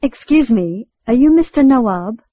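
A woman's voice: on the high side, expressive, normal speed, no echo. A woman asking strangers' names politely in a scripted course dialogue. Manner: polite, slightly apologetic. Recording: dull lo-fi dry tape recording.

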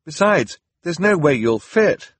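Bookish middle brother, worried and earnest, urgent reasoning, husky, a man putting him on. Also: a little high, very animated, measured pace, pitched above the performer's own voice, exaggerated voice. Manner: hesitant, then urgent and persuasive.

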